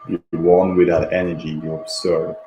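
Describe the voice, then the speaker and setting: A man leading a guided meditation in a gentle, repetitive, unhurried manner, with long pauses and a background. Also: sits low, flat delivery, faint music behind. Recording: roomy echo, faint background noise.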